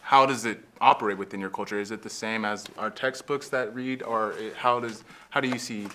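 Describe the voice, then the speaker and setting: A man's voice: on the low side, fairly even intonation, a little fast, bright, forceful tone, a little hiss or hum. A young man hosting a student interview, asking questions and cutting in with short, upbeat replies. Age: young adult.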